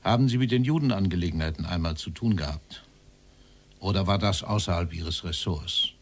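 Stern, insistent interrogator. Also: male voice, sits low, brisk, breathy edge. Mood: probing. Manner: probing, insistent, formal. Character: stern male interrogator questioning a Nazi-era official. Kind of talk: documentary radio drama dialogue.

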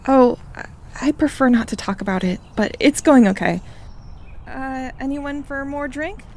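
A woman's voice: high-pitched, moderate pace, darkish tone. A woman playing a breezy young friend, slightly guarded, in an amateur audio drama. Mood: evasive.

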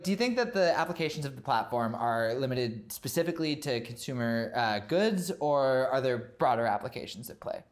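Young man, interviewer, mid voice, halting questions, lots of fillers. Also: medium pitch, expressive.

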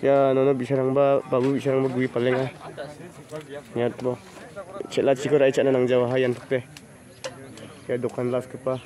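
A man's voice: mid-range voice, completely flat delivery, normal speed, long pauses, crowd noise. A man filming a casual walking vlog on his phone at a rural outdoor fair, chatting and greeting people as he goes. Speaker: vlogger. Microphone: handheld phone camera.

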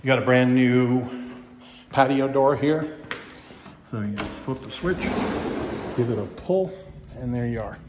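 A man's voice: medium pitch, unhurried, pauses often, background noise. A middle-aged man, a real estate agent chatting casually and salesy while he walks through an empty house with a phone camera. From Kentucky. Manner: chatty, folksy, salesy asides with restarts. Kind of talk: casual walkthrough commentary. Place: empty house, indoors with some steps outside.

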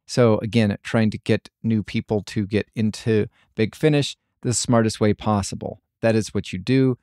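Deep-voiced man rambling on a podcast. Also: steady tone, a little mellow, no room sound, studio quality. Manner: halting, rambling. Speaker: podcast host. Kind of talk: casual solo podcast commentary.

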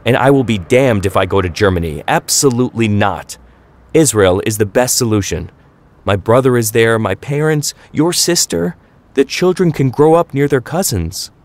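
A man's voice: medium pitch, animated, moderate pace, lightly acted. Firm, weary father, read by a man. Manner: firm, matter-of-fact.

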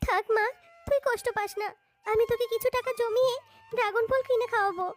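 A woman voicing a gentle, loving animal mother in a cartoon, soothing and affectionate. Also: extremely high pitch, fairly even intonation, fairly quick. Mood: sad, consoling. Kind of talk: cartoon voice acting. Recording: music behind the voice.

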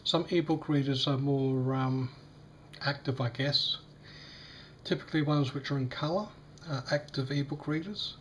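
A man with a slightly croaky voice, demonstrating a device in a casual, hesitant way with many fillers. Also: medium pitch, moderate pace, slight background noise. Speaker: tech unboxing vlogger. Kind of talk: casual product demo. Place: small indoor room at a table.

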